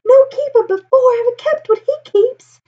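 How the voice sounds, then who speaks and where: A woman's voice: very high-pitched, moderate pace, mellow, lo-fi sound, pitched above the performer's own voice. A woman voicing amazed, gushing townsfolk from a storybook with exclamatory, singsong rhymed lines. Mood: astonished. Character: amazed, gushing crowd of townsfolk cheering a young zookeeper.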